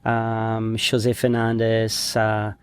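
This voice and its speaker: A middle-aged man from New Zealand, a former pro snowboarder, telling stories in a relaxed interview. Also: lowish voice, very slow, smooth. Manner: relaxed storytelling, trails off with fillers. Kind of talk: casual interview chat.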